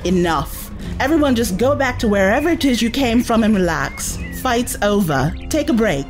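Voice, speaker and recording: A woman acting in an audio drama as a bold, impulsive young adventurer with a brisk, take-charge manner. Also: fairly high pitch, expressive, close to an everyday voice. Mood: firm. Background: loud background music.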